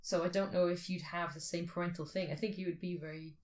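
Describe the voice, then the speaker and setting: Low-pitched woman in casual chat. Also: fairly even intonation, fast talker. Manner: playful, informal, thinks aloud. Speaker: podcast co-host.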